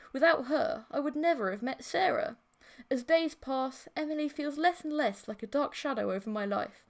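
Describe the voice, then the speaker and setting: A woman narrates an audiobook in a fairly high voice. Her delivery is scripted and dramatic, telling a story in the first person.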